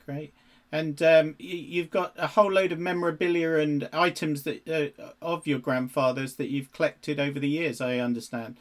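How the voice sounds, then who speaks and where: A man's voice: a little high, fairly even intonation, normal speed. A man hosting a podcast interview, asking questions and giving short encouraging responses. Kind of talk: casual interview conversation.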